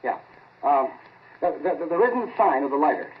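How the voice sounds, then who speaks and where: An actor playing an excitable, folksy lab assistant in a 1940s radio drama, stammering and eager as he plays announcer. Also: on the high side, normal speed, breathy edge. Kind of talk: 1940s radio acting, naturalistic. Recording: tinny, narrow 1940s radio recording.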